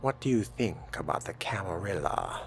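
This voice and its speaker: A man reading a fledgling vampire's questions in scripted role-play dialogue, in a measured, questioning manner. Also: low voice, big pitch swings, vocal fry, a little hiss or hum.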